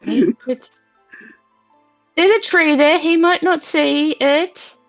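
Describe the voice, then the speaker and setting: Woman, tabletop player, unhurried gravelly delivery, informal; muffled recording with music underneath. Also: high voice. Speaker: player in a tabletop roleplaying game. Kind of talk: casual game-table chat. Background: background music.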